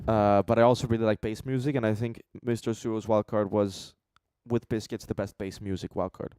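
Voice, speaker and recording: Clear-voiced, blunt man chatting casually. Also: fairly low pitch, fairly even intonation, brisk, dark tone, dry recording, clean studio sound. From Denmark. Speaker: co-host reviewing beatbox competition entries. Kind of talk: casual reaction chat.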